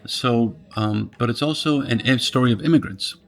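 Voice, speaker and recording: A man's voice: medium pitch, normal speed, creaky. A middle-aged man from Poland, interviewing in a thoughtful, searching way and often restarting his phrases. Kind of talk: reflective conversation.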